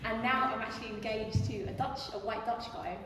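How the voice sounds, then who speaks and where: A British woman talks in a chatty, playful way, with laughter tucked into her phrases. She is picked up from a distance in a big gallery space with a long, ringing echo and clear background noise. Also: on the high side, fairly even intonation, a little fast. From Britain. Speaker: audience member asking a question. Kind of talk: casual anecdote and question. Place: large gallery room. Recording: echoey gallery hall from far off, noisy.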